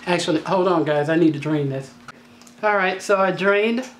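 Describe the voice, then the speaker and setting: A woman with a slightly raspy voice hosting a home cooking tutorial, talking in a chatty, down-to-earth way with joking asides. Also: lowish voice, normal speed. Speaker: cooking channel host. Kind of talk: casual cooking tutorial voice-over. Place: home kitchen.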